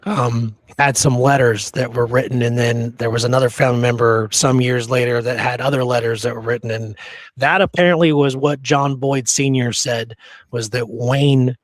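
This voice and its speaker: A male podcast host with a mid-pitched voice tells history casually and emphatically. He rambles through his points with strong opinions, recorded in a dry space with very little room sound. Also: fairly even intonation, normal speed, no room sound.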